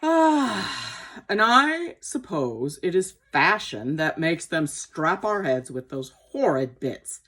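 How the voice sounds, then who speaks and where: A bitter, outspoken storybook mare, played by a woman, sharp and indignant. The voice is husky and swings up and down with feeling. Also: sits low, sing-song, takes it slowly, exaggerated voice. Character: spirited female horse, bitter and outspoken.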